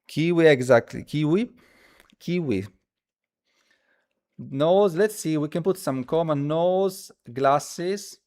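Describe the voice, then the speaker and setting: A man who speaks as a hosts a casual live coding stream, thinking aloud haltingly and repeating his phrases as he demonstrates. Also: fairly high pitch, darkish tone. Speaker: livestream host.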